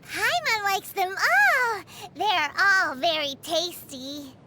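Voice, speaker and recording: A woman voicing a tiny, childlike fairy companion in a video game, with a squeaky voice and a perky, eager manner. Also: animated, slow pace, sharp tone, a little hiss or hum, heavily acted. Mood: cheerful. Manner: perky, refers to self in third person.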